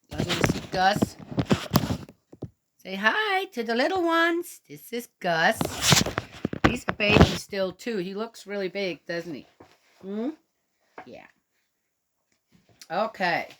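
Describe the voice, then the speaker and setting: A woman from Switzerland chatting gently to young children and reading them a picture book aloud. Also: medium pitch, very animated, slow, pauses often, noisy background. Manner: gentle, chatty, talks directly to young listeners. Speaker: children's storyteller.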